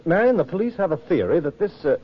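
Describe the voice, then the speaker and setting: An actor playing an earnest, protective well-to-do husband in a suspenseful old radio drama. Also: male voice, a little high, sing-song, quick pace, slightly rough. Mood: serious. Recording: muffled noisy old radio broadcast.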